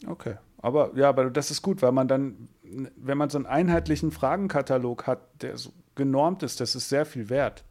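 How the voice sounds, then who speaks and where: A man's voice: medium pitch, fairly quick, hardly any pauses, darkish tone. A man hosting an interview podcast, talking casually and kindly, with ums and small fillers. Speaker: podcast host.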